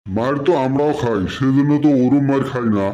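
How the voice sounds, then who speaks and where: A man's voice: mid-range voice, brisk, dull audio, a crowd in the background, close to an everyday voice. Man playing a blunt village doctor in a cartoon. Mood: bemused, advising. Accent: Goalpara, western Assam. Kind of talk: comic dialect cartoon dialogue.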